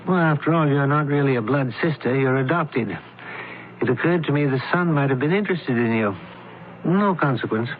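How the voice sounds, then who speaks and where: An actor playing a brisk, shrewd doctor turned investigator in an old-time radio mystery, questioning with clipped authority. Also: male voice, medium pitch, lightly acted. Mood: probing. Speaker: investigator character. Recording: narrow, tinny old radio recording, hiss.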